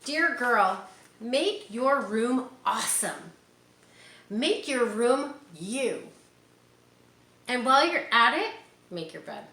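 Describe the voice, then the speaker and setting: A woman's voice: on the high side, big pitch swings, takes it slowly, pauses often, sharp tone. A woman reading a picture book aloud to children, speaking to them directly and encouragingly with casual asides. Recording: roomy echo, faint background noise.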